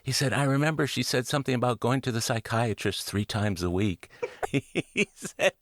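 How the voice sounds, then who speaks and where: A man's voice: medium pitch, animated, moderate pace, a bit bright, no echo, clean studio sound. A man hosting a conversational interview, reflective and drawing on his own experience as he asks questions. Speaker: interviewer and podcast host.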